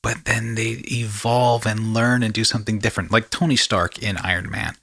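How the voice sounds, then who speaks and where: A man's voice: lowish voice, fairly even intonation, moderate pace, a bit bright, no room sound. A man hosting a podcast, talking in a casual, rambling storytelling way with slangy asides. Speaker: podcast host.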